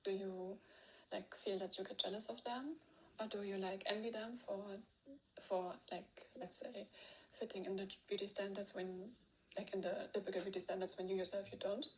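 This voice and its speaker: A woman with a German accent asks a question in a voice memo, pausing often as she searches for her words. Her voice sits in a mid range, with faint background noise. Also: medium pitch, steady tone, darkish tone, lo-fi sound. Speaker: caller leaving a voice memo.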